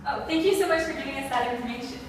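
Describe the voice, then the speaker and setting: A woman reading scripted interview questions for a school project, in a soft, careful way. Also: high-pitched, rapid-fire, slight background noise. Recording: echoey room, far from the mic, music behind.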